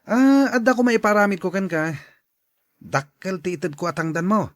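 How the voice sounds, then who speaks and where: A man plays a crooked dealer in an audio drama, jovial and persuasive. His voice sits fairly high and moves around a lot, recorded dry and close. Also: lively intonation, normal speed, no echo. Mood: coaxing. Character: scheming, jovial male drug dealer luring poor neighbours.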